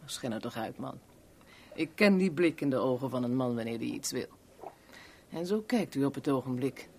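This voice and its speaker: A woman's voice: extremely low pitch, expressive, normal speed, a little mellow, a little hiss or hum. Worldly, sardonic woman in radio drama. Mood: wry, bored. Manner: wry, teasing, blunt. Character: worldly, sardonic kept woman, bored and frank. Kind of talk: 1970s Dutch radio play acting.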